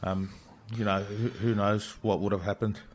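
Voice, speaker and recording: Soft-spoken young man from New Zealand. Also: lowish voice, level tone, moderate pace. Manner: soft-spoken, hesitant with many ums. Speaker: guest, rugby league player. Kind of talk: casual interview chat.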